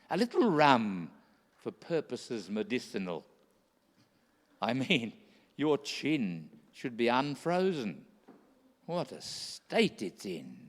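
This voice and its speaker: A man playing bustling old Santa, slow and animated. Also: medium pitch. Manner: hearty, chatty delivery in rhyming verse. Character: kindly, bustling old Santa Claus, generous and fussy host. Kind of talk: radio play acting. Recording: echoey hall with long reverb.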